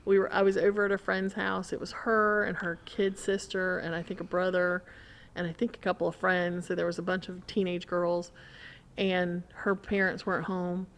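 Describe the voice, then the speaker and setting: A middle-aged woman telling stories in casual conversation, in an animated, rambling way. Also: fairly low pitch, moderate pace. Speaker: podcast guest or co-host. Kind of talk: casual chat, storytelling.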